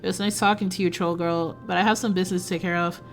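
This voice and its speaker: A woman's voice: fairly even intonation, fast talker, faint music behind, natural voice. Woman voicing a smug, teasing fairy boy. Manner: low, smug, amused drawl. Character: teasing, smirking young male fairy with wings.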